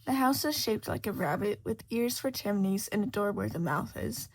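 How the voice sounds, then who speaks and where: A woman's voice: mid-range voice, animated, moderate pace, poor audio. A woman reading a scripted fiction story aloud as its narrator. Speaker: podfic narrator.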